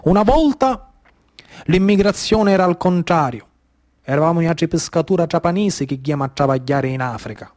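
A man's voice: fairly high pitch, fairly quick, darkish tone, natural voice. A man playing a nostalgic old Sicilian tuna-fishing chief in a theatre monologue, speaking emphatic, colloquial Trapani dialect-laced speech.

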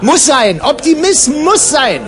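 A man's voice: extremely high pitch, sing-song, measured pace, fairly bright tone, a faint crowd. A man in casual, rambling conversation, exclaiming and mock-dramatic in manner.

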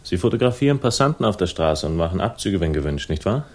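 A man's voice: sits low, big pitch swings, fast talker. A man acting in a 1960s German radio crime drama as a wry, laconic investigator, narrating and talking with dry irony. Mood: dry, probing. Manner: dry, ironic, literary phrasing. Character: wry, laconic male investigator narrating his own case. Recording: vintage radio drama, music behind.

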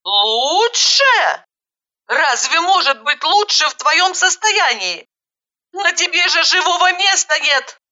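A frantic, sharp-tongued woman in a storybook, acted out by a female reader, exclaims shrilly and indignantly. Her voice is very high and bright, with big swings. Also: sing-song, slow, dull audio, exaggerated voice. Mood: worried.

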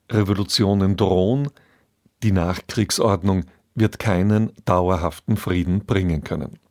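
A man reading a scripted radio introduction in a measured, factual way, as a presenter. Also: lowish voice, a little mellow, dry recording, studio quality. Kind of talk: scripted narration.

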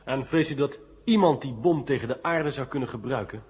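A man's voice: medium pitch, brisk, a little mellow, lightly acted. A man playing a sceptical journalist in a Dutch radio play, polite but pressing with questions. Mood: probing. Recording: muffled 1970 radio recording, echoing hall.

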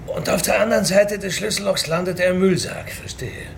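A timid middle-aged male job seeker in a radio drama. His fairly high voice crackles with fry, and he speaks courteously and deferentially over clearly noisy ambience. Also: fairly quick. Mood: deferential, puzzled. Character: polite, timid middle-aged male clerk seeking work.

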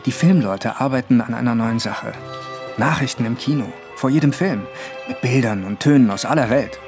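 A man's voice: medium pitch, normal speed, music in the background, lightly acted. A man acting a chummy, wheedling journalist and old friend in a German period crime radio drama. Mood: persuasive. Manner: chatty, teasing.

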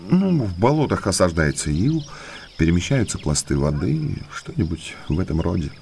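Casting: a courteous, deliberate naturalist and moorland guide, deep register, enigmatic air, audiobook drama. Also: male voice, very animated, gravelly, darkish tone, slight background noise, full character voice. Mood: musing, explanatory. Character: well-mannered male naturalist, eager guide to eerie moors. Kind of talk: Russian audiobook drama acting.

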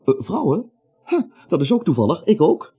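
Radio play role: bumbling, anxious everyman, husky and higher-pitched, stammering and self-justifying, muffled old recording. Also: huge pitch swings, normal speed, mellow, exaggerated voice. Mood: hesitant, haggling. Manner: hesitant, rambling, stammering. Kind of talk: comic radio play acting.

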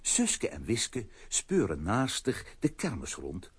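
A man's voice: on the low side, wildly swooping pitch, takes it slowly, breathy edge, exaggerated voice. A man narrating a scripted children's radio play as storyteller.

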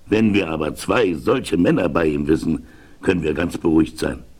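A man's voice: rumbling low, lively intonation, normal speed, a little mellow, husky, full character voice. A man playing a polite, concerned townsman who greets a visitor in a courteous, formal way. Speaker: host receiving a visitor. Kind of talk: 1970s German radio play acting.

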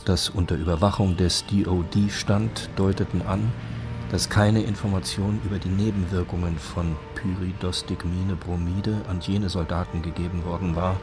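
A man reading official documents and quotations in a formal, deliberate, scripted documentary style. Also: sits low, fairly even intonation, over music.

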